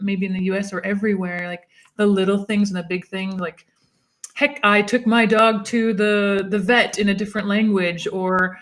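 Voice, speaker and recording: A woman guest on a podcast, chatting casually and encouragingly, with frequent "like" fillers. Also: mid-range voice.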